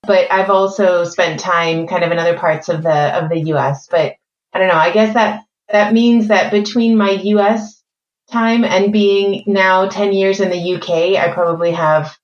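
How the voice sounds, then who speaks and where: Woman w/ a blended euro-american accent, calm and articulate, thinks out loud, muffled echoey remote audio. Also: mid-range voice. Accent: mixed French, Spanish, American and British. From born in France, raised mainly in Spain. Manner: measured, articulate, thinks aloud with fillers. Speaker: corporate learning executive, guest. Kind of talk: podcast interview.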